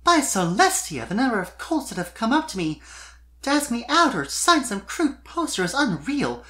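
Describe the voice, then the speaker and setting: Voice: mid-range voice, big pitch swings, normal speed, fairly bright tone, voice pushed up. A performer voicing an exasperated young female pony pop singer from a storybook, wry and wistful, with dramatic, emphatic, teasing delivery. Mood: dramatic exasperation.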